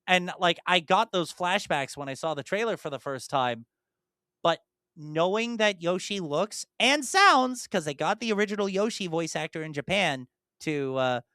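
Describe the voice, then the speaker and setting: A man's voice: high-pitched, animated, normal speed, bright, forceful tone, dry recording, clean studio sound. A young man co-hosting a podcast, talking in an enthusiastic, rambling way with frequent restarts. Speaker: podcast co-host. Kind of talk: casual chat.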